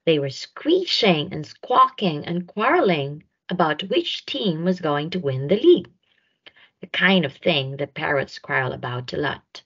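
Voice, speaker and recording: A woman narrating a children's picture book aloud in an expressive way, with drawn-out emphasis for young listeners. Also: low-pitched, slightly rough, highs cut off. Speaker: storyteller reading a picture book.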